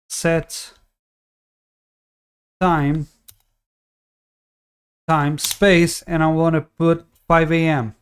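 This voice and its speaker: A man's voice: a little high, clear, resonant tone. A man whose first language is Portuguese, casually talking through a game tutorial with many fillers and restarts. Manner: relaxed, rambling, frequent fillers and restarts. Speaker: tutorial host.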